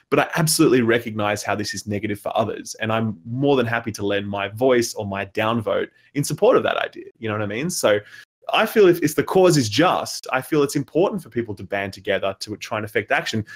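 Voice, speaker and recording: A man giving his opinions bluntly and emphatically in a casual discussion, swearing freely. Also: medium pitch. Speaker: podcast guest.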